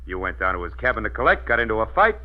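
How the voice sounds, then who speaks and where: An actor playing a loud, boisterous, mocking bully in an old radio mystery. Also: male voice, lowish voice, big pitch swings, rapid-fire. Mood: smug, accusing. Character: loud, blustering, bullying back-slapping man. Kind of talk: 1940s radio mystery acting. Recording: dull vintage radio recording.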